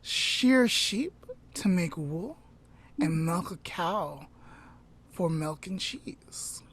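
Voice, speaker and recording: Slow-paced woman telling a story. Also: on the low side, expressive, slight background noise. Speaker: podcast host telling a story. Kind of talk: storytelling retold aloud, informal.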